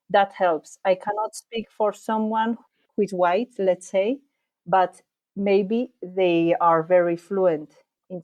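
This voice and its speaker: A woman from Spain, a guest answering interview questions in thoughtful, slightly halting English. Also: on the low side, phone-quality sound. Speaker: podcast guest, bike traveller.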